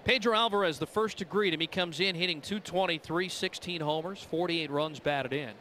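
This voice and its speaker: An American man calls a ballgame as a radio play-by-play announcer. His voice sits on the high side and climbs excitedly on big plays. Clear outdoor ballpark noise runs behind him. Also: fairly quick. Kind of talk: live baseball radio commentary.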